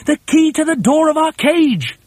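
A father monkey leading his family in a storybook, acted out by a male narrator. He speaks firmly and urgently in a raised, husky voice. The delivery is animated and dry. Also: high voice, measured pace, no echo, voice pushed up, full character voice. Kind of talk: storybook character voice.

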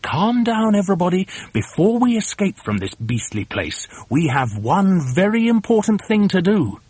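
A man's voice: sits high, sing-song, moderate pace, a little mellow, slightly rough, dry recording, pitched above the performer's own voice, exaggerated voice. A man voicing a firm father monkey, leader of his family in a storybook. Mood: determined. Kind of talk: storybook character voice.

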